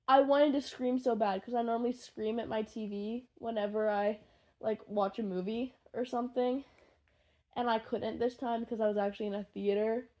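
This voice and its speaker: A young adult woman with a voice on the high side talks informally to her followers. She rambles along with excitable, gushing enthusiasm. Her wording is loose and full of slang, like a fan creator chatting off script. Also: normal speed. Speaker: podfic creator and fan fiction writer. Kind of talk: casual rambling chat to listeners.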